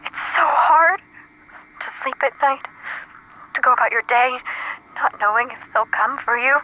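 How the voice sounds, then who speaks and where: An actress playing a frightened, devoted woman in a retro radio drama, speaking haltingly through an old telephone filter. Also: sits high. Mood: distressed. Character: frightened, devoted woman, a sweetheart trapped under alien occupation. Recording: compressed narrow-band line with static noise.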